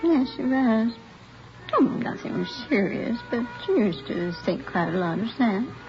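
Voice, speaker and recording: An older American woman playing a chatty, nostalgic elderly mother, gently rambling in 1950s radio drama style. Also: fairly high pitch, very animated, unhurried. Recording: muffled vintage radio recording, faint music.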